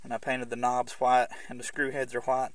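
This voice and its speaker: A man's voice: mid-range voice, monotone, moderate pace, a little hiss or hum. A man gives an informal, conversational hands-on walkthrough as a hobbyist presenter, rambling a little as he explains things in and around a car.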